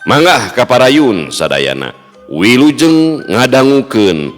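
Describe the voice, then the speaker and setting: A man narrating a traditional folk tale as storyteller, with a slow, melodic delivery. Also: mid-range voice, sing-song. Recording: long reverb, faint music behind.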